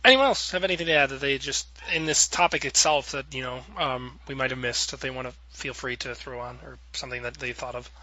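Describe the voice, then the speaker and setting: Bright, forceful male podcast host. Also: medium pitch, fast talker, hardly any pauses, rough recording. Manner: informal, wordy, rephrases his questions with examples. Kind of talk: casual panel discussion, putting questions to guests.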